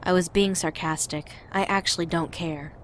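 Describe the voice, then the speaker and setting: A woman playing a cold, dismissive, sarcastic young character in an audio drama, curt and deadpan. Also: fairly low pitch, normal speed, lightly acted.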